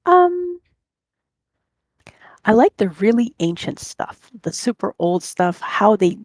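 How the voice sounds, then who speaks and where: A native English speaker chatting casually, telling rambling, animated stories and voicing their own thoughts aloud. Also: mid-range voice, takes it slowly, no room sound, studio quality. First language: English, speaks only one language. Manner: rambling, animated anecdotes with voiced inner thoughts. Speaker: interviewee, online language-club member. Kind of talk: casual conversational storytelling.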